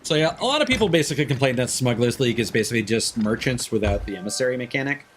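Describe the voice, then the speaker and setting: A man's voice: mid-range voice, expressive, very fast, slight background noise. A man giving casual live game stream commentary, rambling and thinking aloud into a streaming microphone.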